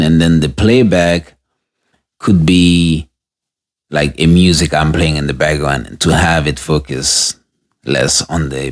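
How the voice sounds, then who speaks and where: A man with a notably deep, low voice talks slowly through a casual product review. He speaks off the cuff as he tests the device, pausing and restarting his sentences. He is recorded on a budget audio interface mic. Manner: unscripted, halting, restarts mid-sentence while testing gear.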